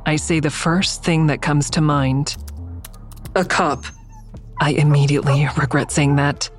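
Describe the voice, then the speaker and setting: Low-voiced, wary woman investigator. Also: fairly even intonation, unhurried, faint music behind. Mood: tentative, wary. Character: wary, dry-witted woman investigator narrating her own story. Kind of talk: first-person audio drama narration and dialogue.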